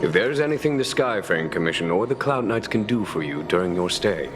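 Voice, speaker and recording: An actor playing a calm, shrewd general in a video game, speaking in a measured, formal and diplomatic way. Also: male voice, deep voice, music in the background. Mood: gracious, assured. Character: calm, courteous, shrewd male general commanding a starship's knights. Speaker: military leader. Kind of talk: scripted video game dialogue.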